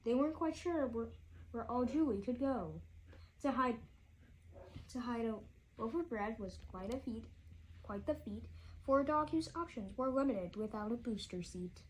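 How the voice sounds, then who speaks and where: A woman with a fairly high voice reads a rhyming story for children. She trips over words and goes back to repeat them. Noticeable noise runs behind her reading. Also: lively intonation, rough recording. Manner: halting reading, often stumbling and repeating words. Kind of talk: read-aloud rhyming children's storybook narration.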